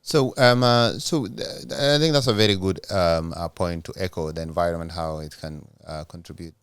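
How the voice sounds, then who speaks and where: Deep-voiced hesitant male interviewer. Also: normal speed, clear, resonant tone, no echo, studio quality. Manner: hesitant, filler-heavy questions. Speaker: host. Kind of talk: interview questions.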